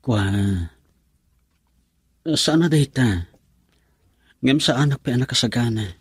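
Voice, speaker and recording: A man's voice: medium pitch, slow, slightly rough, no room sound, clean studio sound. A man playing a hesitant young boyfriend in an Ilocano radio drama, earnest and defensive about his worries over poverty. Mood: uneasy.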